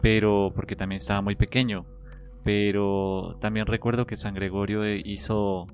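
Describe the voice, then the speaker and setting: A Colombian man with a lowish voice talks casually, as on a podcast panel. His delivery is halting, doubling back on words as he reminisces. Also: level tone. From small town in Colombia.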